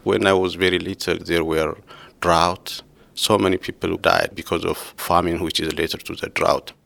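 A man in his thirties from Ethiopia, soft-spoken and laughing often as he talks with his former co-advisor. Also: sits low, level tone, moderate pace, gravelly. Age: 30s. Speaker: former PhD student, interviewee. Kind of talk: casual conversation.

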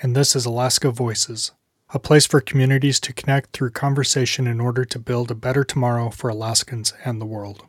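Steady flat-voiced male host. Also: mid-range voice, fairly quick. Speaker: host introducing the program. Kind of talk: scripted intro.

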